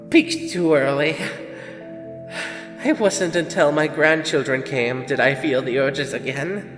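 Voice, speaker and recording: A woman playing an elderly grandmother confessing as a killer in an audio drama, bitter and obsessive, with halting, dramatic delivery. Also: fairly low pitch. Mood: remorseful, heavy. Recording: echoey long reverb with music behind.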